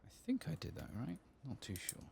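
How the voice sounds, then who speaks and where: A man hosting a gaming video in a soft-spoken ASMR style, sometimes whispering, murmuring his thoughts unhurriedly as he plays. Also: mid-range voice, huge pitch swings, gravelly, slight background noise. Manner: murmured, unhurried musing to himself.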